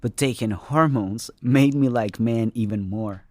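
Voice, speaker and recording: A man's voice: mid-range voice, a little slow, a little mellow, no echo. A man reading a scripted first-person story as its narrator. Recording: studio narration over music. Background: music.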